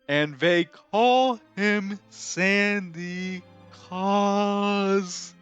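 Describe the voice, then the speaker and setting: A man playing an excitable skeleton showman from a musical, high-voiced, grandiose and dramatic, moving between song and speech. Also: drawn-out words, clear, resonant tone, dull audio, faint music behind, full character voice. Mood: ominous, showy. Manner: grandiose, sweeping, theatrical delivery. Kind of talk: theatrical musical performance, half sung and half spoken.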